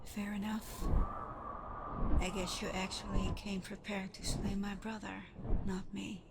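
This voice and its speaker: A woman acts out a female water dragon in an ASMR roleplay, proud and guarded yet softening. She talks slowly at a medium pitch, in a soft, teasing way that often drops to a breathy murmur. Clear ambient noise runs behind the voice. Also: little pitch movement. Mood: curious, teasing. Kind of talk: ASMR roleplay, scripted character monologue.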